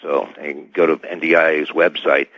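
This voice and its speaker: American male expert guest, very deep bass, halting and hedging delivery, dry and slightly lo-fi radio line. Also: little pitch movement, no room sound. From United States. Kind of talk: call-in radio interview. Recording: dull band-limited radio line.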